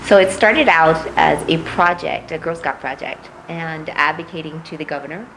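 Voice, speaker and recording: A middle-aged woman from Puerto Rico, raised in Missouri, answering interview questions as a political candidate, measured and reflective with frequent pauses. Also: sits low, steady tone, noisy background.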